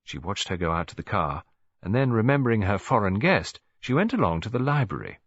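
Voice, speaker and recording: A man's voice: fairly low pitch, sing-song, brisk, dry recording, highs cut off. A man with an English accent reading scripted story narration for an audiobook.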